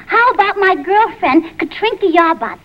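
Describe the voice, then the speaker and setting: A woman's voice: very high-pitched, animated, moderate pace. A woman playing a brassy, gullible, man-hungry New Yorker in a 1940s radio comedy. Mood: breezy, defensive. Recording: muffled old radio recording.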